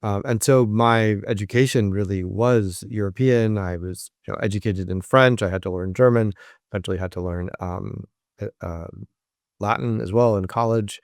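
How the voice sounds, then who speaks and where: A man's voice: lowish voice, fairly even intonation, moderate pace, no echo, clean studio sound. A man who is a professor, answering interview questions thoughtfully, with frequent ums and restarts. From United States.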